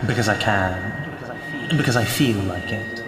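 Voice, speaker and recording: A man's voice: on the low side, normal speed, roomy sound, big-room sound, a loud crowd, close to an everyday voice. An actor playing an eccentric, teasing, mysterious male ally in an audio drama, with a theatrical, playful delivery. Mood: teasing, knowing.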